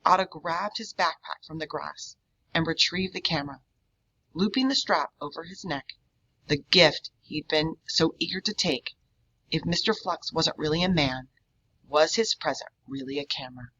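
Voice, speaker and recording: A woman reads a children's story aloud with expression. Her voice sits low but has a bright, forceful edge, and the recording is slightly dull and lo-fi. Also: moderate pace, husky. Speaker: read-aloud reader. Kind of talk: children's book read-aloud.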